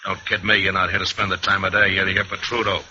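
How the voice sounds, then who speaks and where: An actor playing a menacing, sneering American gangster, curt and bullying, in an old-time radio noir drama. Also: male voice, deep voice, little pitch movement, quick pace, sharp tone, dull audio, slight background noise. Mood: sneering threat.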